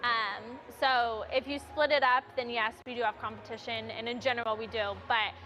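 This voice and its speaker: A woman's voice: a little high, steady tone, moderate pace, pushed and bright, dull audio, a little hiss or hum. A woman on staff at a sports venue answering interview questions casually, with fillers and small laughs.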